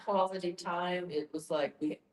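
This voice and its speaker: A woman's voice: on the low side, steady tone, normal speed. A woman speaking informally as a participant in a discussion. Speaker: discussion participant asking a question. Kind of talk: informal personal account in a discussion. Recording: roomy, echoing sound.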